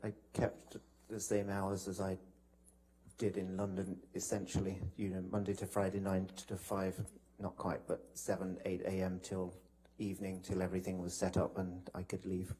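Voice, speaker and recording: A man giving testimony as a witness in a courtroom, hesitant and measured, with halting answers. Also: sits low, monotone, dark tone. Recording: noisy courtroom broadcast feed.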